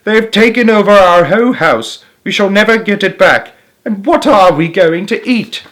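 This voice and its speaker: A man's voice: on the high side, very animated, moderate pace, fairly bright tone, pitched above the performer's own voice. A man voicing a fussing, anxious mother in a children's story he is reading aloud. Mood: fretful. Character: fretful mother, a woman turned bird.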